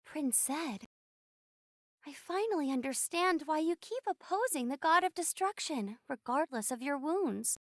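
High-voiced haughty demon princess. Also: female voice, animated, a little slow, dry recording, studio quality. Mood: flustered, smitten. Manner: prim, dramatic. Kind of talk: anime-style video game voice acting.

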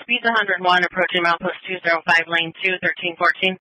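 A man's voice: high voice, fast talker. A man working as a police dispatcher, repeating reports over a two-way radio in a clipped, procedural way. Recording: bright police scanner radio with faint noise.

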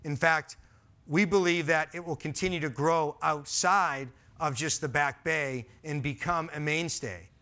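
A man in his forties with a Boston accent gives an earnest, emphatic presentation to an audience. His voice sits a little high and carries a bright, forceful edge. He is picked up on a headset mic in a large auditorium. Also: unhurried. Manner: earnest, emphatic, gestures while speaking. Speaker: conference speaker. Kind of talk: stage presentation. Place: large dark auditorium stage.

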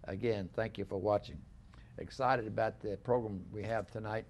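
Older man, gravelly host voice. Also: on the low side, steady tone, a little hiss or hum. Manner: rambling, halting, many restarts. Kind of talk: casual studio interview. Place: small living-room studio set.

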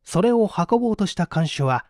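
Theatrical male narrator with animated pitch. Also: fairly quick, no room sound, studio quality. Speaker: audiobook reader. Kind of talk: dramatic scripted narration of a mystery story.

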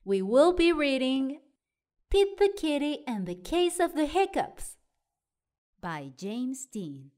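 A woman narrating children's storybooks in an expressive way aimed at young listeners. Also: high-pitched, slow. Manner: expressive, child-directed delivery. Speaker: storyteller and show host.

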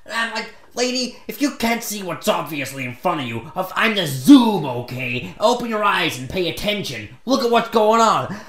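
Short-tempered superhero played by a man, frustrated and indignant, swooping intonation, roomy echo, comic sketch. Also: fairly high pitch. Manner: ranting, indignant, hesitant when calm. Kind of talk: comedy sketch storytelling. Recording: echoey room.

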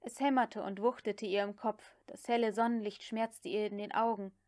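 A woman's voice: mid-range voice, steady tone, moderate pace, a little mellow. A woman reading a novel aloud as an audiobook narrator, in scripted literary narration.

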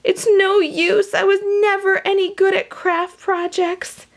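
Woman playing fretful female farmer, children's storybook, raised high voice, excitable, exclaiming. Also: measured pace. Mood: despairing. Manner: dramatic, exclaiming. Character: frantic, excitable woman farmer fussing over her hen.

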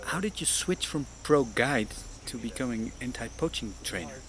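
A man's voice: mid-range voice, sing-song, normal speed, fairly bright tone, slight background noise. A man interviewing a guest outdoors, asking polite, plain questions. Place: outdoors in the bush.

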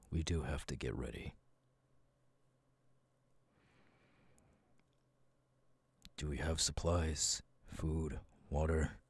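Guy doing a stoic brooding war captain, super low raspy breathy voice, takes his time, asmr roleplay. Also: dry recording, full character voice. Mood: calm, subdued. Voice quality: husky, breathy. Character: stoic, battle-hardened male military captain, brooding and vengeful. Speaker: voice actor, ASMR artist. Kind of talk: ASMR audio roleplay, dramatic monologue.

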